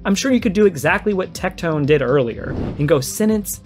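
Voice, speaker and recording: A man giving argumentative video commentary, explaining his points emphatically and repeating them to be clear. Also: high-pitched, lively intonation, fairly quick, a little mellow. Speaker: video creator giving a response. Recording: music behind the voice. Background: music.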